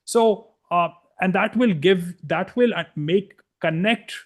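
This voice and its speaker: A man's voice: sits high, slow. A middle-aged man from India, a guest in a conversational interview, talking bluntly and emphatically with frequent restarts.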